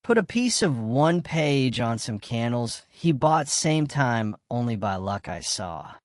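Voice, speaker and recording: A man's voice: medium pitch, very animated, takes it slowly, no echo. A jealous countryman in a radio drama. He speaks bluntly and colloquially, needling and suspicious, with a close, dry sound. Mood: insistent.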